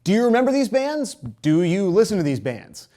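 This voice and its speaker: A man's voice: high-pitched, sing-song, a little fast, heavy background noise. A young Canadian man from Toronto hosting a music video series, casually answering viewer comments with jokey, sarcastic asides.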